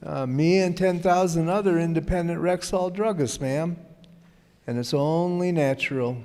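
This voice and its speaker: Mellow, earnest man acting a family druggist. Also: on the high side, normal speed, close to an everyday voice. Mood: earnest, explanatory. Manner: explanatory, folksy, courteous. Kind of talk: old-time radio advertisement, scripted. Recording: reverberant hall stage recording.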